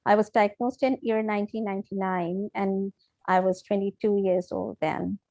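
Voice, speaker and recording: A woman in her forties from Pakistan, a patient advocate, who briskly introduces herself on a video call. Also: mid-range voice, steady tone, mellow, no room sound. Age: 40s.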